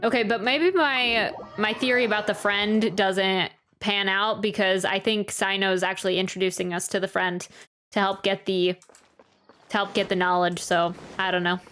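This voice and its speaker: A woman's voice: mid-range voice, fairly even intonation, moderate pace, fairly bright tone. A woman giving casual live game commentary, musing and thinking aloud. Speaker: game reaction streamer. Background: game music.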